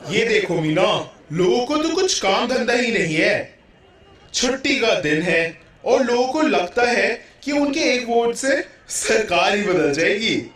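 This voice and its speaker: A man's voice: high-pitched, big pitch swings, a little slow, fairly bright tone, full character voice. A man playing a lazy, dismissive husband and father in a puppet show, theatrical and bossy. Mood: smug, lazy. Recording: very echoey hall, distant mic.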